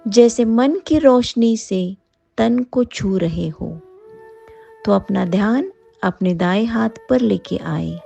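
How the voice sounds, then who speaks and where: A woman with an Indian accent leading a guided yoga nidra meditation in a soothing, unhurried manner, leaving long pauses between phrases. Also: mid-range voice, gravelly, dark tone, highs cut off.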